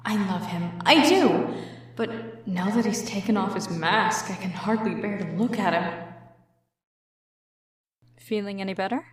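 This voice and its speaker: Woman playing a husky, confident antiheroine. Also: mid-range voice, unhurried, pauses often. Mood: concerned, tender. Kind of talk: comic dub voice acting.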